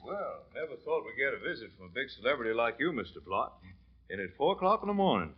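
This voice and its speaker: An actor playing a wry, streetwise police officer in a 1940s radio drama, folksy and sardonic. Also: male voice, mid-range voice, sing-song, fast, husky. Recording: muffled noisy old-time radio recording with clicks.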